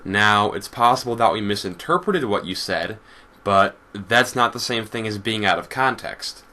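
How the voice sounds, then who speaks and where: Bright, forceful male YouTube commentator. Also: lowish voice, expressive, normal speed. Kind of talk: scripted response commentary.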